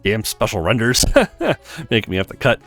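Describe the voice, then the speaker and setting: A man's voice: fairly low pitch, moderate pace, fairly bright tone, soft background music. A man hosting a let's play with casual gameplay commentary.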